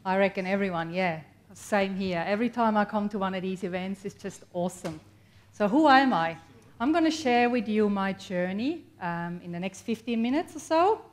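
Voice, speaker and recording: A woman's voice: medium pitch, animated, normal speed. Chatty Swiss woman addressing a workshop. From Switzerland. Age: 40s. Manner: chatty, laughs often, engages the audience. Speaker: workshop speaker. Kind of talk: motivational talk to a live audience. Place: workshop event room.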